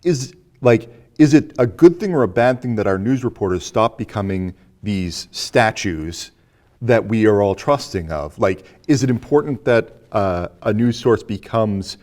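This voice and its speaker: Inquisitive male host, lowish voice. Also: lively intonation, a little slow, a little mellow. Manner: inquisitive, builds questions in layers. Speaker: host posing discussion questions. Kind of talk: casual discussion.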